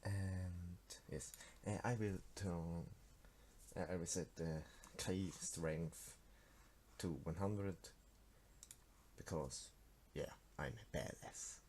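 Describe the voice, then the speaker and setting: A teenage man from Switzerland speaks English with a Swiss German accent, his voice very low and his delivery slow. He talks through his game with plenty of fillers, restarts and self-deprecating asides. Faint hiss and mouse clicks sit under the voice. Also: a little mellow, rough recording. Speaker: game developer. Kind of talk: casual screen-recorded devlog.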